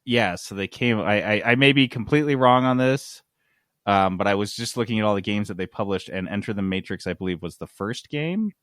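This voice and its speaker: A man's voice: lowish voice, brisk, fairly bright tone, no echo, studio quality. A man hosting a podcast in a casual gaming news chat, talking in a wry, informal way. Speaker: podcast host.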